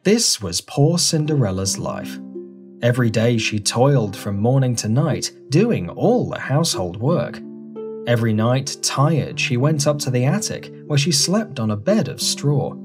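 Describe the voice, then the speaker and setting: Children's fairy-tale narrator, very expressive intonation, gentle music underneath. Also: medium pitch, a little slow. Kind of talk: scripted children's storybook narration.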